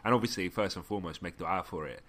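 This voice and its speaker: Deep-voiced man, joking podcast co-host. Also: fast talker. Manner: joking and laughing often, relaxed slangy talk. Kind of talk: casual chat, reading listener messages aloud.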